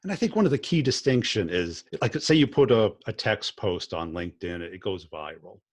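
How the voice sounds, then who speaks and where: A man's voice: on the low side, animated, moderate pace, husky. A man hosting a podcast, talking bluntly and plainly in casual conversation. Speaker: podcast host.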